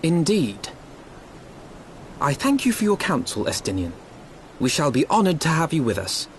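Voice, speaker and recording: An actor playing an earnest, courteous young diplomat in a fantasy game, with polite, eloquent, archaic speech. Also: male voice, fairly high pitch, sing-song, moderate pace, slight background noise. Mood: grateful. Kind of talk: scripted fantasy game dialogue, archaic formal English.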